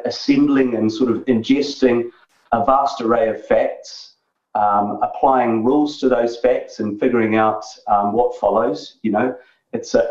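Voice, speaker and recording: A man in his forties from New Zealand with a New Zealand accent, a guest on a remote interview, talking in a measured way with many fillers and restarts. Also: mid-range voice, a little mellow. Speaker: guest, tech executive. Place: dark home office. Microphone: video call. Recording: roomy, distant, dull lo-fi sound.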